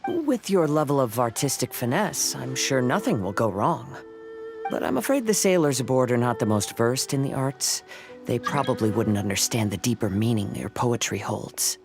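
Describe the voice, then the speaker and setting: A man voicing a calm, polite young sailor-poet in a video game, soft-spoken and measured. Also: mid-range voice, music in the background.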